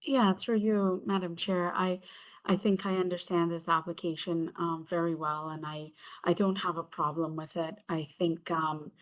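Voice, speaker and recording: A woman committee member making a formal motion at a hearing, measured and halting with fillers. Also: on the low side, level tone.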